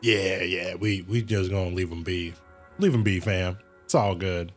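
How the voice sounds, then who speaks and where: A man's voice: deep voice, measured pace, soft background music. A man livestreaming a game with casual commentary in a laid-back, slangy way. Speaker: game streamer.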